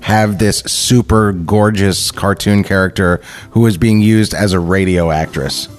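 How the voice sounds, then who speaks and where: A man's voice: sits low, steady tone, measured pace. A man co-hosting a podcast in casual banter, chiming in with agreement and joking asides. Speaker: podcast co-host. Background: music bed.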